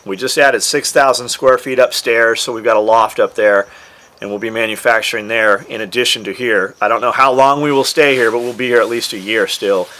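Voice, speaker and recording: A man's voice: medium pitch, steady tone, fairly quick, pushed and bright. A man who owns a business, chatting offhandedly and with casual swearing as he tells its story. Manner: offhand, profane. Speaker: gear maker and business owner. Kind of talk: casual storytelling about his company.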